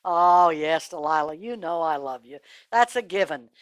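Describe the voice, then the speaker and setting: A woman's voice: sits low, animated, moderate pace, dry recording, voice pushed down. A woman storyteller voicing a boastful, gullible strongman from a Bible tale, cajoling and offhand. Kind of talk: oral Bible storytelling for children.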